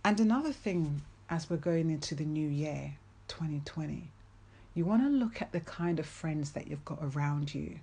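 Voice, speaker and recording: A woman's voice: deep voice, animated, normal speed, gravelly, warm tone, breathy edge. A woman giving a faith-based motivational talk, speaking earnestly and emphatically and repeating key phrases for weight.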